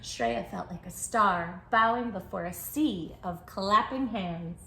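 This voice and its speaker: A woman's voice: mid-range voice, slow pace, creaky, bright, forceful tone, slight background noise. A woman hosting a children's storytime, reading aloud expressively with dramatic emphasis and speaking directly to young listeners. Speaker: children's book read-aloud host. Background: music.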